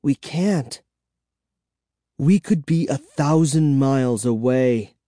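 A man's voice: medium pitch, takes it slowly, dark tone, no room sound, clean studio sound, close to an everyday voice. A man voicing a frightened child in a spooky storybook, speaking with urgent anxiety. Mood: fearful, despairing. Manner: urgent, tense exclamations. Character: anxious, frightened child narrator in a vampire tale.

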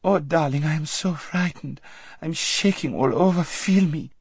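A man voicing a frightened, spoilt young noblewoman in an audiobook, with a squeaky, breathy whine. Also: lively intonation, moderate pace, darkish tone, exaggerated voice. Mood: whimpering fright. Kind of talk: audiobook character acting.

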